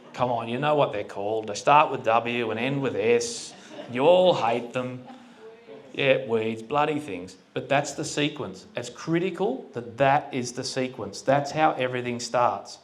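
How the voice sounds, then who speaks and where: Plain-spoken man addressing a crowd. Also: medium pitch. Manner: plain-spoken, asks the audience questions. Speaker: speaker at a farming forum. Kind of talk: casual public talk to an audience. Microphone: handheld microphone that cut out.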